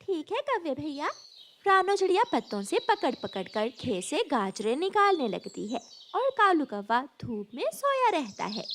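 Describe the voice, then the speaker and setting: A woman's voice: fairly high pitch, a little hiss or hum. A woman reading scripted narration for a children's cartoon story.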